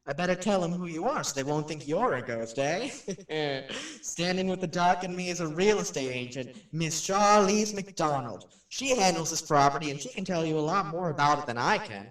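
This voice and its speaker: A man's voice: fairly high pitch, animated, normal speed, fairly bright tone, far from the mic. An actor playing a wisecracking, sceptical male radio personality giving chatty, flippant on-location patter in an audio drama. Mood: jokey, chatty. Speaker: radio show host. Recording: roomy, echoing, off-mic drama recording.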